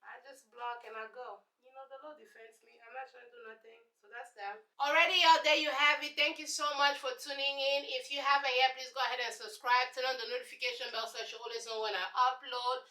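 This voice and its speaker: A woman's voice: high-pitched, steady tone, fast talker, few pauses, bright, forceful tone, reverberant, low-quality recording. A young woman from Congo with a Congolese accent, answering viewer questions in a casual, sassy vlog. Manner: chatty, sassy, preachy asides. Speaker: YouTube host.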